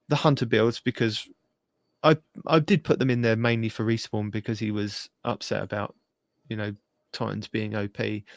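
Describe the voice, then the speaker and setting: A man's voice: lowish voice, creaky, no room sound. Casual male gaming podcaster, dry close sound. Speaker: podcast co-host. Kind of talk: casual gaming podcast chat, running through video guides.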